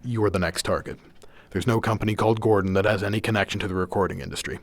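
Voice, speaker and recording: An actor playing a terse, grave masked vigilante detective in a radio drama. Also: male voice, fairly low pitch, fairly even intonation, very fast, no echo. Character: grim, determined male masked vigilante detective.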